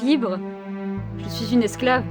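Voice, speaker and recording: Actress doing a shy slave girl in ancient athens, high voice, hesitant n apologetic, audio drama w music behind. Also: slow. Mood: deferential, wary. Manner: hesitant, deferential, stammers apologies. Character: timid, apologetic enslaved young woman of ancient Athens. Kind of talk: French audio drama acting.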